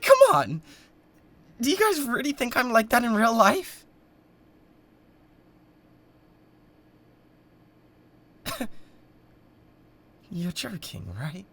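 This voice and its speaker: A man's voice: high-pitched, fairly bright tone, a little hiss or hum, exaggerated voice. A British man playing a bratty, obsessive yandere livestreamer in a dramatic audio roleplay, talking to viewers in a theatrical, stammering, defensive way. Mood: sheepish, placating.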